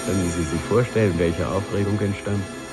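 A man's voice: low-pitched, fairly even intonation, normal speed, over loud music, close to an everyday voice. A man playing a sly, wry narrator who addresses the listener in a 1950s German radio play. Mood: wry, dramatic.

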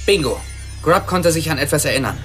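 An actor playing a confident, matter-of-fact police detective in a radio drama, brisk and assured. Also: male voice, a little high, expressive, over music, lightly acted.

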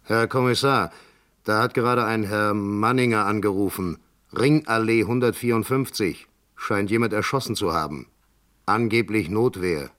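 An actor playing a businesslike police duty officer, clipped and matter-of-fact, in a 1960s German radio drama. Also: male voice, lowish voice, normal speed, a bit bright, natural voice. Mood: brisk, official. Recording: old mono radio, faint noise.

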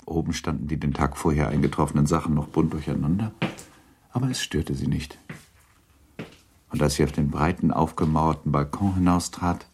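A man's voice: rumbling low, darkish tone, slight background noise. A man narrating a literary radio drama in a composed, scripted storytelling style.

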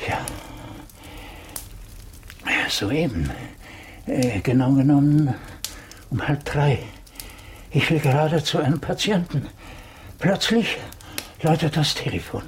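An actor playing an agitated doctor in a German radio drama, speaking excitably in hurried, clipped phrases. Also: male voice, on the high side. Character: agitated doctor breathlessly reporting an alarming call. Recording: faintly noisy 1980s radio drama recording.

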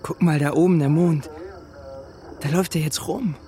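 An actor playing an eager, awkward teenage boy, halting and colloquial, in a German radio play. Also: fairly high pitch, fairly even intonation, warm tone, close to an everyday voice.

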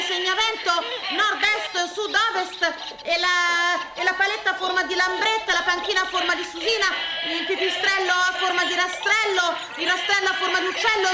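A woman delivers a theatrical comic monologue in a satirical, emphatic way, stringing words into incantatory, repeated lists. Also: very high voice. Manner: satirical, exclamatory. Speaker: solo stage actress. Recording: roomy, echoing stage sound.

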